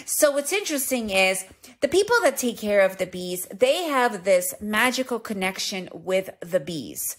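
A woman's voice: mid-range voice, very animated, normal speed. A woman hosting a travel podcast, talking casually and enthusiastically and asking her listeners rhetorical questions. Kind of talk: casual monologue.

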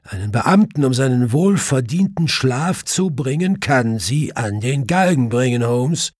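A man's voice: mid-range voice, lively intonation, unhurried, darkish tone, slightly rough, no room sound, clean studio sound, pitched above the performer's own voice. A man voicing a grumpy, sleepy police inspector in a growling, sardonic audiobook style. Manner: grumbling, sardonic.

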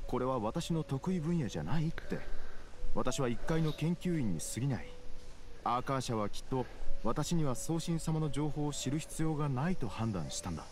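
Man acting as a fussy, animated male scholar. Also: mid-range voice, moderate pace, lightly acted. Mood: explaining. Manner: fussy, academic, self-justifying. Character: middle-aged male scholar, nervous yet self-important. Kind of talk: video game voice acting. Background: game music.

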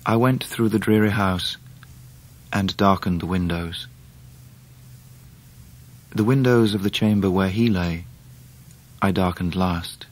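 An actor playing a reflective English gentleman, narrating his life in a radio drama in a measured, sombre way. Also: deep voice. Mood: grieving, hushed. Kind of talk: dramatised first-person narration.

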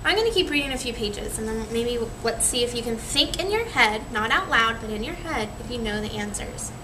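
Young woman reading a kids book out loud, teachery w lots of questions, high voice, far from mic w background noise. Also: lively intonation, brisk. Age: young adult. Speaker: reader of a children's picture book. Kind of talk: read-aloud to a group of children, with questions. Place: small indoor room, seated in a chair. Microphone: camera a few metres away. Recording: distant camera mic, clearly noisy room.

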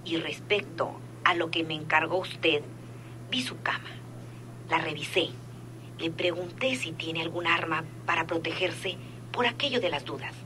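An actress playing a discreet, obedient gangsters' accomplice in a Mexican radio serial, guarded and matter-of-fact, on an old recording. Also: female voice, low-pitched, a little slow, background noise. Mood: obedient, reporting.

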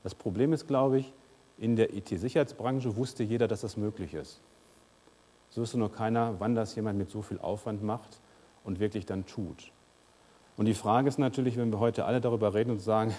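A man's voice: on the low side, dark tone, husky. A man in his fifties giving a conference keynote in a large lecture hall, speaking conversationally with frequent "uh" fillers. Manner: gestures and moves about the stage while speaking. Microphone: lapel mic. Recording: long hall reverb.

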